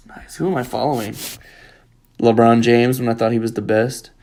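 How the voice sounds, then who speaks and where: A man's voice: mid-range voice, steady tone, normal speed, darkish tone. A young man co-hosting a casual podcast, rambling and telling stories loosely. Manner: rambling storyteller, acts out quotes. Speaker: podcast co-host. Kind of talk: casual chat.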